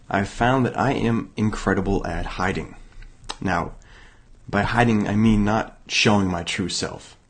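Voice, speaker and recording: A young man from Massachusetts hosting a motivational self-help show, hoarse and raspy from illness, talking casually with many fillers and restarts. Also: fairly low pitch. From Massachusetts, USA. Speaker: podcast host.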